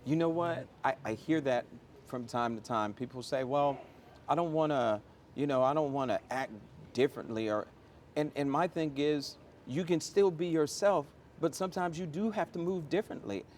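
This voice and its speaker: A man's voice: a little high, normal speed, darkish tone, a little hiss or hum. A middle-aged American man answering interview questions conversationally, with an emphatic, motivational manner and a storyteller's use of examples. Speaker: interview guest, airport chief executive.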